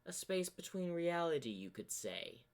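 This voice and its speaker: A man voicing a sinister corrupted double of a zebra hero, smug and menacing, with theatrical, taunting, drawn-out phrasing. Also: fairly high pitch, sing-song, creaky, pitched above the performer's own voice. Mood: sly, explaining.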